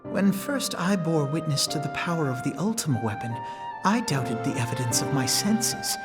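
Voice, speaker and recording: A man's voice: on the high side, lively intonation, moderate pace, close to an everyday voice. An actor playing an earnest, inquisitive young male scholar who asks polite questions in formal speech, in a game cutscene. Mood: inquiring. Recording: music score underneath.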